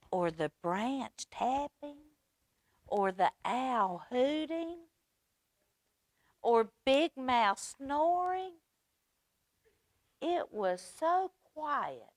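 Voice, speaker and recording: A woman reading aloud at a children's storytime, expressive and using simple phrasing for small children. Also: medium pitch, dry recording.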